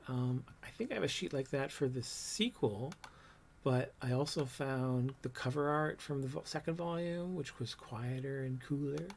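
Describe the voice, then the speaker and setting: A man in his fifties with a low, soft voice, reminiscing casually on a livestream about his old art, with many ums and trailing thoughts. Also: moderate pace, gravelly, a little hiss or hum. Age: 50s. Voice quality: low, soft voice that doesn't project. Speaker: comics artist showing his old work.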